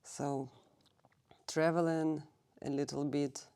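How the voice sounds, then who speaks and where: A woman in her thirties from Russia speaks slowly in a low, mellow voice. She is a native Russian speaker and often pauses to find the right English word. She is being interviewed in a library. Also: little pitch movement, smooth. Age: 30s. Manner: halting, searching for English words. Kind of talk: recorded oral history interview. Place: public library.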